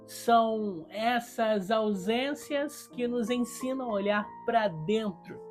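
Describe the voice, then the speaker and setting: A woman's voice: medium pitch, a little mellow. A Brazilian woman reading a scripted literary review in a solemn, reflective and rhetorical manner. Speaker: book reviewer. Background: guitar music.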